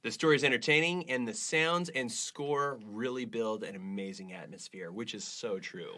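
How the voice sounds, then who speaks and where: A man's voice: mid-range voice, moderate pace, bright, forceful tone. A man co-hosting a podcast, reading listener reviews and bantering casually in a playful, teasing, mock-emphatic way. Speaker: podcast co-host.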